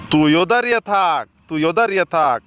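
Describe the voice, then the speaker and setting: A man plays a fussy cartoon schoolmaster with a fairly high male voice. He lectures and scolds his pupils, always on the edge of exasperation. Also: lively intonation, a little fast, fairly bright tone, clear, resonant tone. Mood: exasperated. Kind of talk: comedy cartoon dialogue.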